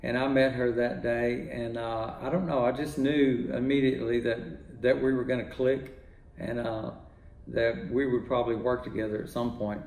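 An older man from Texas, a music producer talking in a relaxed, rambling way full of fillers, in his home studio. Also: mid-range voice, normal speed, vocal fry, roomy sound, a little hiss or hum, rough recording. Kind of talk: casual interview talk.

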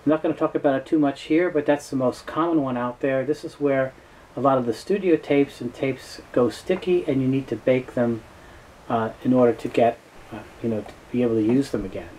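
A man in his fifties giving an informal technical explanation to camera, halting and often repeating words as he restarts. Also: mid-range voice, poor audio. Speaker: host, tape dealer and restorer. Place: small cluttered indoor office or workshop. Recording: steady room noise.

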